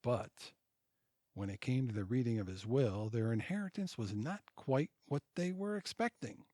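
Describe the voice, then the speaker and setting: A man's voice: mid-range voice, animated, normal speed, dark tone, dry recording, clean studio sound, natural voice. A man in an audio drama playing a courteous, fatherly family employee, with a polite, formal delivery. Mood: storytelling. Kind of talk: narration and audio theatre acting.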